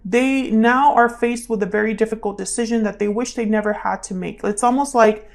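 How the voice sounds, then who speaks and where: A woman's voice: fairly high pitch, steady tone, brisk. Woman giving a casual, conversational tarot reading. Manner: conversational, repeats questions and phrases while thinking aloud. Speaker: tarot reader, channel host. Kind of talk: intuitive tarot reading, casual spoken commentary.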